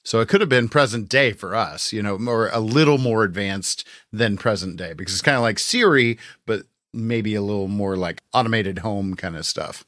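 A man's voice: fairly low pitch, normal speed, hardly any pauses, fairly bright tone, no echo, studio quality. A man co-hosting a podcast, chatting informally and enthusiastically like a fan. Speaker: podcast co-host. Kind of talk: casual fan discussion.

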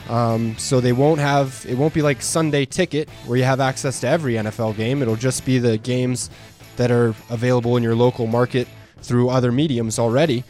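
A male radio co-host reads short news items and then explains the details calmly and in a measured way. His voice sits at a mid pitch, with soft music faintly behind him. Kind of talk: reading news items, then casual banter. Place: radio studio.

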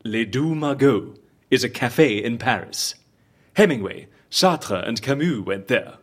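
An actor playing a fussy, inquisitive interviewer with a husky, dry delivery in an audio drama. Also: male voice, mid-range voice, animated, measured pace. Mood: prim, cheerful. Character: fussy, curious male secret-agency interviewer who loves cocoa.

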